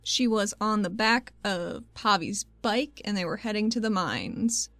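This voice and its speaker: A woman at a middle pitch, playing a tabletop game and narrating what her character does. Her delivery is playful and upbeat. Faint music plays in the background. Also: a little slow, fairly bright tone, dry recording. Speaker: player.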